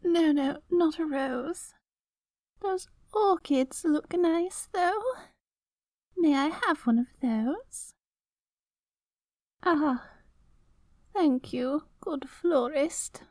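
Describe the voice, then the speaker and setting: A woman's voice: high-pitched, drawn-out words, pauses often, a little mellow, no echo, studio quality. A woman voicing a poor, wretched old beggar woman, meek and pleading with melodramatic self-pity, in a fantasy roleplay. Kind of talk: scripted fantasy audio roleplay.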